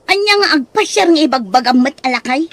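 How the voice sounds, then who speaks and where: A woman's voice: high-pitched, lively intonation, quick pace, fairly bright tone. A woman playing a bossy, scornful woman in a radio drama, scolding and dismissive as she talks. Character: bossy, scornful gambling daughter who berates her elderly in-laws. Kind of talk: radio-style Ilocano drama acting.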